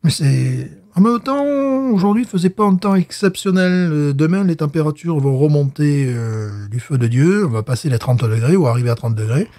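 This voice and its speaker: A man's voice: a little high, expressive, normal speed, few pauses, warm tone. A man co-hosting a podcast, tasting a beer in casual chat, hesitant with many fillers and restarts. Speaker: podcast co-host.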